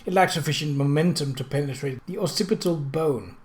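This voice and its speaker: Gentleman sleuth, voiced by a male narrator: slightly high-pitched, analytical, formal and self-assured, methodical audiobook delivery. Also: fairly quick, close to an everyday voice. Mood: thoughtful, precise. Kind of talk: audiobook character dialogue.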